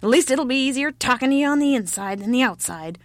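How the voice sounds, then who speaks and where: Woman putting on a loud, grumpy old peasant woman. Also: high voice, lively intonation, fast talker, dry recording, pitched above the performer's own voice. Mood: exasperated. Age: older. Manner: shouting, scolding, folksy.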